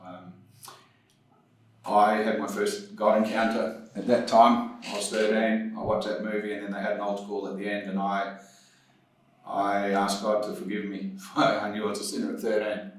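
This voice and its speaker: A man from New Zealand with a New Zealand accent, telling his story as an interview guest, hesitant with many pauses and restarts. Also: fairly low pitch, level tone, heavy reverb, recorded from a distance, low-quality recording.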